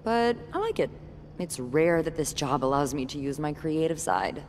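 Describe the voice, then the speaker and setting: A woman's voice: low-pitched, lively intonation, normal speed, darkish tone, slight background noise. An actress voicing a wry, playful, self-assured young woman with a teasing manner in a naturalistic video game scene. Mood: playful, candid.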